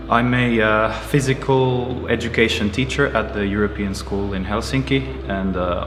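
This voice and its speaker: Portuguese-born man, 30s, earnest interviewee; roomy echo with clear music bed. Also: fairly low pitch. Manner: measured, earnest, with frequent uh pauses. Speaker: karate teacher and entrepreneur. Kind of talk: interview answers. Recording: roomy echoey space with music behind. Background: music playing under the voice.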